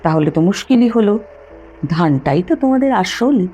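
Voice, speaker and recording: Woman as curious doctor, animated and familiar. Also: mid-range voice, moderate pace, muffled recording, soft background music, lightly acted. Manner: inquiring, familiar. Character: doctor narrator, curious kindly employer chatting with driver.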